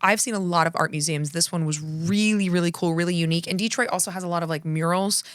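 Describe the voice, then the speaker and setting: A woman's voice: lowish voice, fairly even intonation, fast talker, clean tone, no room sound, clean studio sound. A woman co-hosting a podcast, chatting with enthusiasm about food and travel and often trailing off to restart her sentences. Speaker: podcast co-host. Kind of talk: casual chat.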